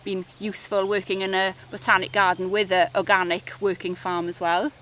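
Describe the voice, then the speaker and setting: Welsh woman, 40s, bright tense tone, chatty interview guest. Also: medium pitch, flat delivery, fairly quick, slight background noise. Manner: chatty, many fillers like "kind of". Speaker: project officer. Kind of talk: casual interview chat.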